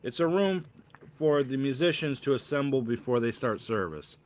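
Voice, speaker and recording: A man's voice: medium pitch, animated, moderate pace, lightly acted. A man voicing a young associate pastor in a storybook, formal, polished and slightly self-important. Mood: explaining.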